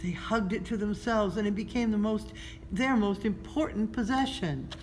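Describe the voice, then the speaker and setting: A woman reads a children's story aloud in a measured, expressive storytelling style, pausing between phrases. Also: medium pitch. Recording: noticeable background noise.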